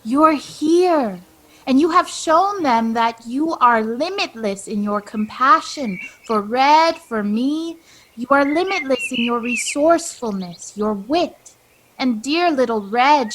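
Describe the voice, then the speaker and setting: A woman acts a knowing guide figure in a radio play. The voice is somewhat high and very measured. It coaxes with calm, solemn certainty. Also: a little hiss or hum, poor audio. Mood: encouraging. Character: wise, calm, knowing female guide from home.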